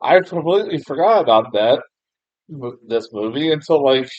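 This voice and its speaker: A young man from the States rambles through a film review, tripping over his words and laughing at his own mistakes. The sound is dull and muffled, with a dry, close feel and no room around it. His voice sits at an ordinary middle pitch. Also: expressive, moderate pace, darkish tone, dry recording. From United States. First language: English. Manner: rambling, self-deprecating, frequent fillers and restarts, laughs often, mild swearing. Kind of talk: casual spoken film review.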